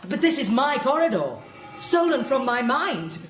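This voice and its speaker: A woman's voice: high voice, very animated, moderate pace. A woman playing a skeptical, defiant captive in a radio drama, scornful and emphatic as she fights back. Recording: echoey, with music behind.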